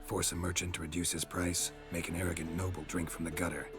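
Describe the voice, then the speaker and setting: An actor playing a stoic, grey-haired veteran warrior in a fantasy game, dry, terse and deadpan. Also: male voice, low-pitched, fast, music in the background, lightly acted. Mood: grave, measured.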